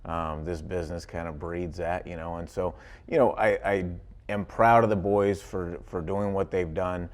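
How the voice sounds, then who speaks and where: A man with an extremely deep voice talks as a football coach in a studio interview. He is conversational and rambling, leaning often on filler phrases. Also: fairly even intonation, moderate pace. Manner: rambling, frequent "you know".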